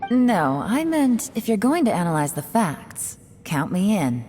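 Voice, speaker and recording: A woman playing a guarded, serious young investigator in a video game, speaking in a grave, measured way. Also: medium pitch, big pitch swings, mellow, faint music behind. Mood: resolute, concerned. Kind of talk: dramatic video game dialogue.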